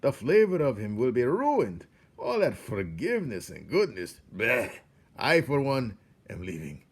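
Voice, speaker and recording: A man acts out a mocking rat ringleader from a children's storybook. The delivery is theatrical and cruelly gleeful. The pitch jumps high and swings widely as he relishes each jeer. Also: wildly swooping pitch, a little slow, slightly rough, exaggerated voice. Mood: scornful.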